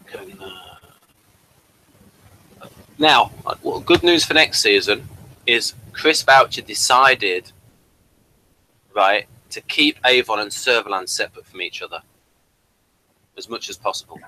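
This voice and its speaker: A man with a bright, forceful voice chats as co-host of a review show. His pitch swings in a lively way, and he moves along in halting, digressive stretches. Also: a little hiss or hum, rough recording. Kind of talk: casual review chat with some reading aloud.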